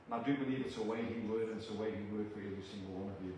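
An older man with a South African accent, a visiting preacher giving an earnest sermon and prayer with halting restarts. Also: fairly low pitch, fairly even intonation. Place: church. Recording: very echoey church from a distant mic with room noise.

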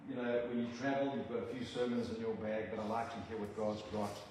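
Older South African male preacher; earnest, halting delivery; distant mic, big church reverb. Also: lowish voice, little pitch movement. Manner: earnest, halting, with frequent restarts. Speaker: visiting preacher. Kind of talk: sermon with prayer. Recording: very echoey church from a distant mic with room noise.

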